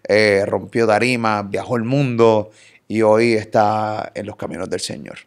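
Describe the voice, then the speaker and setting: A Puerto Rican man in his thirties hosting a casual podcast interview in a studio, energetic and playful, talking with his hands. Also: lowish voice, unhurried, smooth. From Puerto Rico. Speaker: podcast host. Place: pink studio set. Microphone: boom studio mic.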